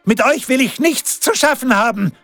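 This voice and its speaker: A man's voice: very high voice, lively intonation, normal speed, a bit bright, dry recording, soft background music, pitched above the performer's own voice, exaggerated voice. A man voicing a frightened young charcoal burner who stammers. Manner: timid, stammering. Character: poor young male charcoal burner, scared.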